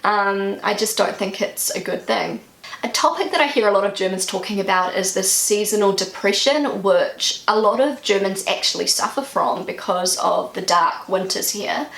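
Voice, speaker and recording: Gravelly New Zealand woman vlogging. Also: medium pitch, normal speed, a bit bright. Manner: chatty and earnest, voice jumps up for emphasis. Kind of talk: casual opinion vlog to camera. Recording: echoey room.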